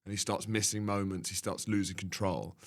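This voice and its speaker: Deep-voiced young man chatting. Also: steady tone, brisk. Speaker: guest, comedian. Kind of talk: casual chat, comic anecdotes.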